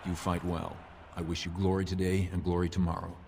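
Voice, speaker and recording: An actor playing an honourable dwarf warrior in a fantasy game, formal and restrained. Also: male voice, low voice, monotone, brisk, gravelly, darkish tone, a little hiss or hum. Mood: solemn. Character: courteous, honourable male dwarf warrior before a duel. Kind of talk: fantasy video game voice acting.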